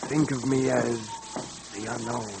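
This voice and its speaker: A brooding, secretive stranger of old radio drama. The voice is full of gravel and speaks with solemn, measured theatricality. The old recording is plainly noisy. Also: male voice, medium pitch, fairly even intonation. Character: mysterious cloaked male stranger, secretive and brooding. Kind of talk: 1940s radio acting.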